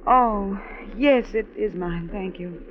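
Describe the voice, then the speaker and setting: A woman's voice: medium pitch, takes it slowly, a little hiss or hum, natural voice. A woman playing a poised, scheming train passenger in an old-time radio mystery. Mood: flustered. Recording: tinny vintage radio recording.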